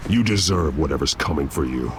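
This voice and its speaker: An actor playing a grim masked vigilante in a video game, speaking in terse, intimidating threats. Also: male voice, rumbling low, lively intonation, fairly quick, heavy background noise. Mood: threatening. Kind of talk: dramatic video game acting.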